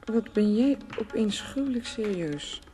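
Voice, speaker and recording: A woman's voice: a little high, lively intonation, a little slow, soft background music. An actress playing a dreamy, teasing woman who rambles in musing repetitions in a Dutch radio play. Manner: musing, repetitive, breaks into soft laughs.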